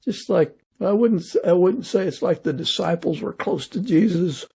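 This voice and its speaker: A male podcast host with a fairly high, husky voice and a soft, dark tone. His speech is creaky as he chats casually with his guest. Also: moderate pace. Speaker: podcast interviewer. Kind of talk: casual interview chat.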